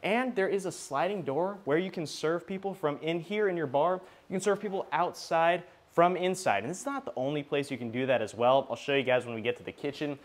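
A man's voice: fairly high pitch, a little fast, few pauses. A man hosting a casual walk-through property tour as a real estate agent, speaking in an enthusiastic, informal, salesy way.

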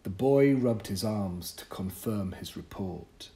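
A man reading a literary short story as an audiobook, with measured, deliberate phrasing and pauses. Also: fairly low pitch, mellow. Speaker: audiobook narrator. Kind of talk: scripted literary story narration.

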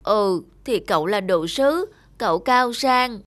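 A woman's voice: mid-range voice, animated, takes it slowly, a bit bright, no room sound, clean studio sound. A woman voicing a humble, good-natured stone bowl in a cartoon, meek and mild. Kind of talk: animated cartoon character acting. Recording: music behind the voice.

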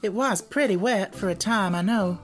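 A man's voice: sits high, moderate pace, faint music behind, voice pushed up, natural voice. A man voicing a brave, curious, polite little girl in an audiobook, with an exclaiming, inquisitive manner. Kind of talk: audiobook character voice.

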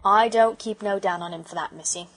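A woman's voice: mid-range voice, brisk, lightly acted. A woman voicing a proud, sulky working-class English railway porter in a storybook, with colloquial speech and dropped aitches. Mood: opinionated.

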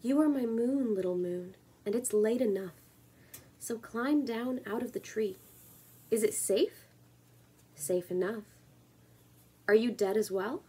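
A young woman you might find in her plant-filled bedroom, reading poems slowly to a camera in a soft-edged, mellow voice. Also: fairly high pitch, husky. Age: 20s. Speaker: poetry reader and video creator. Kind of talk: spoken word poetry reading with casual introduction. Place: small room at home, indoors.

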